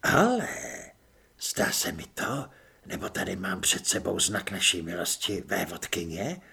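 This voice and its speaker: A hoarse stranger hidden in the dark talks slowly and patiently, now and then sinking to a whisper. His low voice carries a gravelly rasp, put on by a male audiobook reader. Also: big pitch swings, heavily acted. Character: hoarse mysterious man hiding in the dark.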